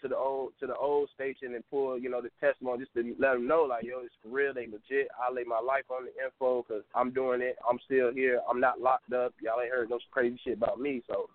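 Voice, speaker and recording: A man's voice: medium pitch, little pitch movement, a little fast, few pauses, no echo. Man, twenties, caller, rambling casual storyteller, tinny phone line. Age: 20s. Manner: loose, rambling storytelling, laughs often. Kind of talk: casual call-in chat.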